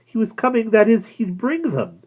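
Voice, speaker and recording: A man's voice: high voice, big pitch swings, moderate pace, voice pushed up. A man voicing an anxious young woman who is pleading urgently and breathlessly to find her missing fiancé. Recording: dull lo-fi recording.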